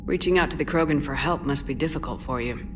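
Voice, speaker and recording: A woman's voice: low voice, fast talker, background noise, lightly acted. An actress playing a direct, no-nonsense female military commander. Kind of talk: video game voice acting.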